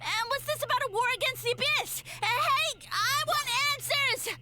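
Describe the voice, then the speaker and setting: A woman's voice: very high voice, normal speed, heavy background noise. A woman voicing a scheming mushroom creature who thinks itself a fallen god, in a game, speaking in grandiose, theatrical villain tones. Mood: flustered. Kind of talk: game voice acting.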